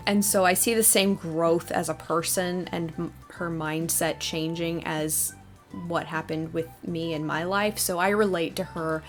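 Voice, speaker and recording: A young adult woman hosting a casual question-and-answer video, talking in a thoughtful, hesitant, rambling way. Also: low voice. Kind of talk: casual fan Q&A talk.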